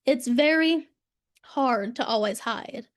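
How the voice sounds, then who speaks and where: A woman's voice: fairly high pitch, slow pace. A woman who stutters, openly and wistfully sharing her story in a group video call. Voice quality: stutters, with broken-off sounds and fillers. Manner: open, wistful recollection. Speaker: support group participant.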